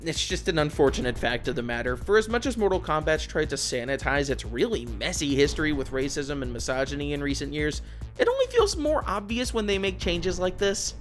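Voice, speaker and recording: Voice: mid-range voice, very animated, fairly quick. A video essayist delivering scripted commentary with informal, wry asides. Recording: music behind the voice. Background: background music.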